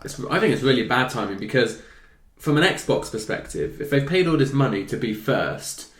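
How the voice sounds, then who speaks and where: A man's voice: medium pitch, expressive, normal speed, fairly bright tone. A hungover man in his twenties hosting a casual gaming news chat, jokey and teasing with his co-hosts. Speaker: host. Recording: roomy echo.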